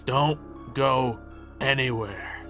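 A cocky, reckless werewolf, acted by a man in a game roleplay, with a bright, forceful voice over faint music. He talks casually, flippant and impulsive. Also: medium pitch, takes it slowly. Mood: excited, pleased. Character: cocky, reckless male werewolf pack second-in-command. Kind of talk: improvised game roleplay acting. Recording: music behind the voice. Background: background music.

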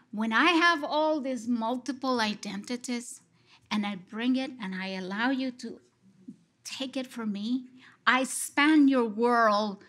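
A middle-aged Colombian woman with a Colombian Spanish accent speaks at a measured, unhurried pace in a fairly high, bright voice. She gives an expressive conference talk from a lectern, gesturing as she goes, picked up by a headset mic. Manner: expressive, gesturing, slow and deliberate. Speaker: lecture respondent, psychology professor. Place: large dark stage at a lectern.

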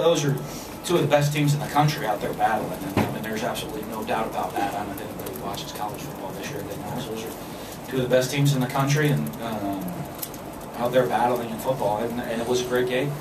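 A man's voice: medium pitch, steady tone. Man at a podium, echoey room, faint noise. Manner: measured, many fillers and restarts. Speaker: head football coach. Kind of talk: postgame press conference. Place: press room with backdrop. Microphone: podium mic, filmed from a distance. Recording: echoey room, far from the camera, background noise.

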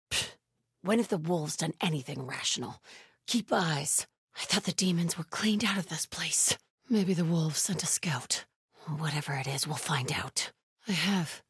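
A devout, watchful female cult scout from a video game. She speaks low and very breathy, often near a whisper, with stern, guarded solemnity. The sound is close and dry. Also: dry recording. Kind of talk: hushed video game stealth dialogue.

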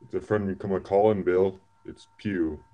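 A man's voice: low voice, fairly even intonation, normal speed, close to an everyday voice. A man playing a menacing blind pirate with threatening sailor talk, in an amateur radio play. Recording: dull lo-fi remote recording.

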